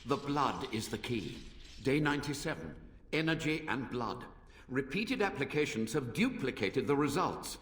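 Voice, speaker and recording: An actor playing a deranged, obsessive mage dictating research notes in a fantasy video game, feverish and theatrical. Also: male voice, mid-range voice, sing-song, moderate pace, fairly bright tone. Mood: clinical, obsessive. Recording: roomy echo, slightly distant.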